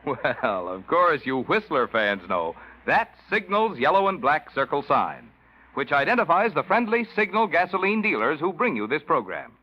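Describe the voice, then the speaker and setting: Lively 1940s radio announcer man. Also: on the high side, moderate pace. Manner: polished, folksy, emphatic. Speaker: radio announcer reading sponsor message. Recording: dull vintage radio recording.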